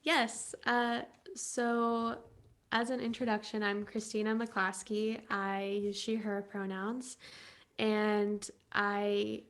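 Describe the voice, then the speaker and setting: Earnest woman with a creaky voice. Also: mid-range voice, little pitch movement, slow, a bit bright. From United States. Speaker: congregation member giving a personal reflection. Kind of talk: personal testimony at an online church service.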